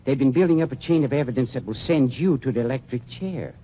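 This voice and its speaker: An actor playing a sly, urbane undertaker in a 1940s radio drama, speaking politely and precisely with dry irony. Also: male voice, medium pitch, lively intonation, fast talker, natural voice. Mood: accusing, smug. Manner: polite, dryly ironic, precise, mock-regretful. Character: urbane, sly male undertaker double-crossing gangsters. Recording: muffled, noisy 1940s radio recording.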